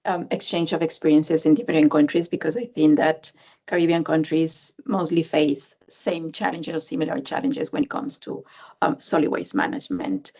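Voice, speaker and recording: A woman's voice: sits low, expressive, moderate pace, warm tone. A woman from Ecuador answers as a panelist, searching for words with many fillers and tag questions. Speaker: panelist, development bank specialist. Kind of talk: conversational expert answer. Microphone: video call.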